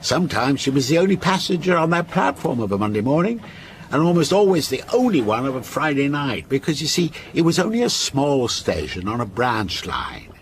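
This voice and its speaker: Radio drama: genial yet unsettling male storyteller played by an actor, animated intonation, teasing and deliberate, noisy train background. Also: medium pitch, natural voice. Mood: storytelling. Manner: measured, coy, teasing. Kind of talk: radio drama acting, telling a ghost story.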